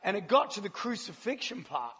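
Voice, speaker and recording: Guest preacher, man, husky and croaky, casual and joking, live in a big reverberant hall. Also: sits high, very animated, a little fast, sharp tone. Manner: chatty, self-deprecating, laughs often. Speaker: guest speaker. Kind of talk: casual, joking church talk. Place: large church hall.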